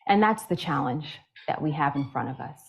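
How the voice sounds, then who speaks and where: A woman's voice: low-pitched, expressive, fairly quick, gravelly, poor audio. A woman giving a keynote speech and then answering questions, earnest and emphatic, building her points through repetition. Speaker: keynote speaker.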